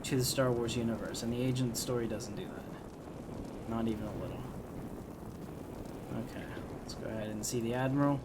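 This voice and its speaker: Man commentating casually over clear background noise. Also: medium pitch, steady tone, normal speed, pauses often. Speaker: gaming video host.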